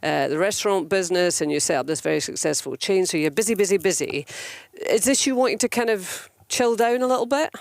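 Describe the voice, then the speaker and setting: High, smooth-voiced male radio host. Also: fairly quick, dry recording. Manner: affable, probing questions with hesitations. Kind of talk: radio interview.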